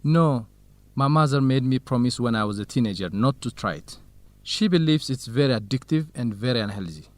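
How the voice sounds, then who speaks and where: An actor playing a modest, easygoing male university researcher in an educational radio drama, polite, low-key and frank. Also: expressive, fairly quick, no room sound, close to an everyday voice. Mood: explaining.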